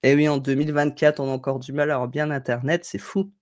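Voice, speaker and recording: A woman with a low voice chats through a live stream from a room at home, picking up viewers' comments. Her talk wanders and is full of small fillers. She sounds slightly stuffed up from a cold. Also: fairly even intonation, fast talker, a little mellow. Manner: chatty, digressive, friendly, thinking aloud with fillers. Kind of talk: casual live tutorial, reading chat comments. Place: home room at a desk, indoors.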